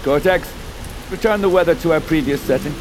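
A man's voice: fairly high pitch, a little fast, noisy background, close to an everyday voice. A man playing a grandiose, self-assured tech visionary in an audio drama, speaking like a showman. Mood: smug, commanding. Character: grandiose visionary tech founder unveiling his life's work. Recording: music under the voice.

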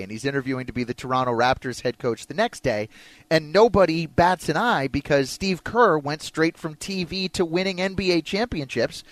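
A man's voice: a little high, dry recording. An American man hosting an opinionated sports talk radio show, chatting with a co-host in a studio. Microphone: close studio mic.

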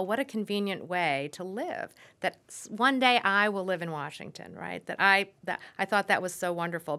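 Woman, middle-aged, American accent, lowish pitch, expert interview guest, measured, halting self-corrections. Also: fairly bright tone, smooth. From suburbs of Saint Louis, Missouri, USA. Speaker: urban planning expert, guest. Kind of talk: thoughtful spoken interview answers.

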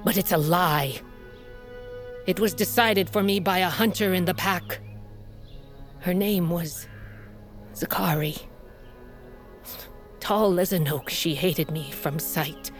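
A woman's voice: lowish voice, takes it slowly, long pauses, soft background music. An actress playing a proud, fierce middle-aged warrior queen and protective mother in an audio drama, with a commanding, grave way of speaking. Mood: grave, haunted. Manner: commanding, grave storytelling delivery. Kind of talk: dramatic audio fiction acting.

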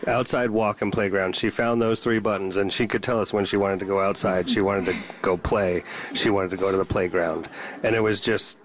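A man's voice: on the low side, steady tone, fairly quick. A man, a parent guest, explaining things casually in conversation.

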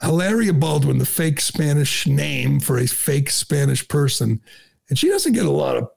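Man, middle-aged, talk radio host, very gravelly, husky, sarcastic, filler-heavy. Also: fairly high pitch, big pitch swings, normal speed, a little mellow. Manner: sarcastic, mocking, with frequent filler "uh" and restarts. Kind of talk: opinionated talk radio monologue.

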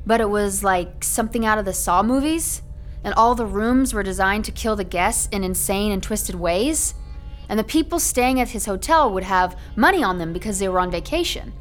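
A woman's voice: mid-range voice, expressive, normal speed, soft background music, lightly acted. An actress playing a sarcastic, frantic young woman trapped with her partner in a horror audio drama, biting and exasperated. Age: young adult. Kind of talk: modern horror radio drama acting.

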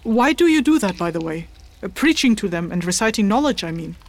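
A woman's voice: mid-range voice, big pitch swings, fairly quick. A woman playing a thoughtful, reserved investigator in a fantasy audio drama, polite and tentative in her questions. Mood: polite, hesitant.

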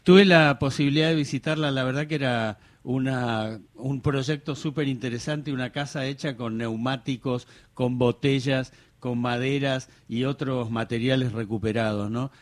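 A man co-hosting a radio program, talking in a relaxed, conversational way. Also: medium pitch, moderate pace, hardly any pauses. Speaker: radio co-host. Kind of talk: radio interview.

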